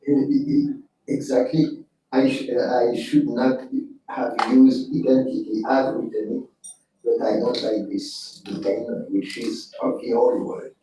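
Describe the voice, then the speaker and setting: A soft-spoken man explaining and conceding a point in a group discussion. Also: mid-range voice, takes it slowly. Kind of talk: discussion in a seminar. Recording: echoey room from far off with faint noise.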